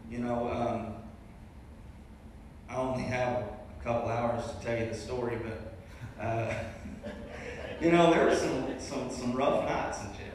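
A man's voice: medium pitch, slow pace, long pauses, darkish tone. A young man giving a casual, rambling testimony as a guest preacher, restarting his sentences and joking at his own expense. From Arkansas. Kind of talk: testimony sermon to a congregation. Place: large church sanctuary. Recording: very echoey hall, distant mic, faint room noise.

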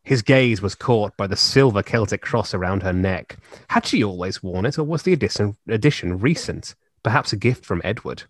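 A man's voice: lowish voice, lively intonation, moderate pace, hardly any pauses, no room sound, clean studio sound. A man reading a novel aloud with mock drama, breaking off for jokey asides in a comedy podcast. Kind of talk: comedic group reading and banter.

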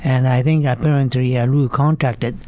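A man in his eighties with a Japanese accent, recounting as an interview guest, haltingly and repeating words. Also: medium pitch, steady tone, warm tone, dull audio. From Kyoto, Japan. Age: 80s. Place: studio.

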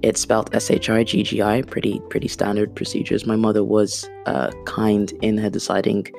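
A woman's voice: extremely low pitch, level tone, moderate pace, vocal fry, darkish tone, soft background music. A woman in her thirties, born in Kenya with Swahili as her first language, hosting an unplanned podcast and rambling with lots of fillers. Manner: rambling, chatty, self-deprecating with many fillers. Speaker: podcast host. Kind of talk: unplanned casual monologue.

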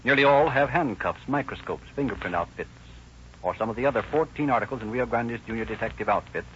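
An American man narrates like a 1930s radio announcer. His pitch is medium. The recording is muffled, with faint noise. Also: fast, a bit bright.

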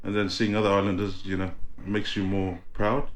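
A young man from New Zealand, chatting casually as a guest, relaxed and quick to laugh, using clipped slang. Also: lowish voice. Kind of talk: casual chat.

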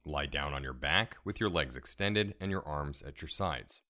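A man leading a guided relaxation meditation in a calm, soothing, measured way, giving gentle step-by-step instructions. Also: rumbling low. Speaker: meditation guide, podcast host.

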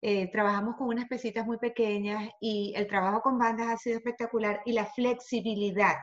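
A woman host, enthusiastic and quick to agree. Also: a little high, little pitch movement. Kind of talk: casual interview chat. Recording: slightly dull sound.

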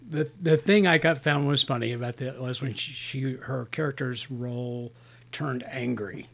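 A man's voice: mid-range voice, gravelly. A man co-hosting a casual movie review podcast, easygoing and agreeable, often trailing off mid-sentence. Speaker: podcast co-host; works in the film industry.

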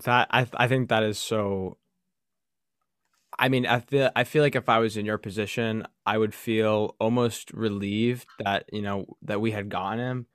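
Podcast host guy, smooth low voice, dry close mic, kinda rambles earnestly. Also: steady tone, normal speed, a bit bright, no room sound. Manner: earnest, self-correcting, uses "like" and "you know". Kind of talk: casual podcast interview.

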